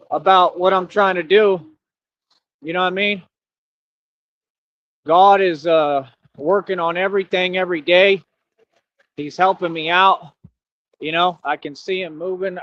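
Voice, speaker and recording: A Texan man with a rather high voice talks in a loose, rambling stream monologue, dropping "you know what I mean" between thoughts. He records through cheap headphones, and the sound comes out dry and a bit dull, with no room around it. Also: a bit bright, no room sound. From Texas. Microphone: cheap headphones with mic.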